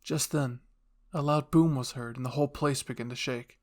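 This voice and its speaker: A man's voice: medium pitch, fairly even intonation, moderate pace, darkish tone. A man delivering scripted narration as the host of a fan fiction audio channel.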